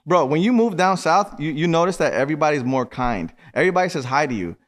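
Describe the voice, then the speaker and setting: Fast-talking young New York man. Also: a little high, lively intonation. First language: Spanish. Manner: quick, stuttering restarts, loose and profane. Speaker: podcast host. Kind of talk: casual podcast chat.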